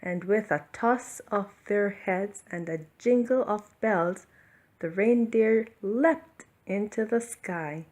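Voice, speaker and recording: A woman reads a children's picture book aloud as a bedtime story. Her pitch sits in the middle range and her pace is unhurried. The delivery is deliberate and expressive. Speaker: storybook reader.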